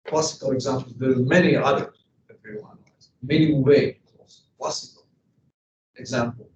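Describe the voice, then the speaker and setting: A man's voice: mid-range voice, noisy background. Man lecturing from across a room. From Serbia. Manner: emphatic, poses rhetorical questions to the class. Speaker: economics lecturer. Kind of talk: classroom lecture. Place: classroom. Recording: distant, echoey, dull classroom recording.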